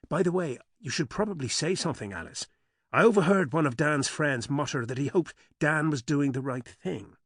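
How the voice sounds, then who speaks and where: A man's voice: a little high, moderate pace, slightly rough, no echo, studio quality, heavily acted. A man doing a perky teasing brother. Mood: confiding. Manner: lively, teasing. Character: brother of the heroine, teasing and upbeat.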